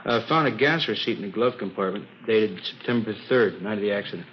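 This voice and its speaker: Police investigator male actor, matter-of-fact, fast clipped phrases, lowish voice, dull old radio sound. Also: lively intonation, lightly acted. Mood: businesslike. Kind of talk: 1950s radio police drama, clipped dialogue. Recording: dull, faintly hissy old radio recording.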